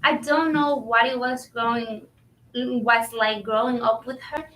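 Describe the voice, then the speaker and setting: Actress as overworked young female kitchen worker, frank and high-pitched, stage reading, distant mic. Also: fairly even intonation, a little slow, a bit bright, a little hiss or hum. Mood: sincere, reflective. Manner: bilingual, switches between Spanish and English. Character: overworked, frank, warm-hearted young Colombian-American restaurant worker. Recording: roomy, distant microphone.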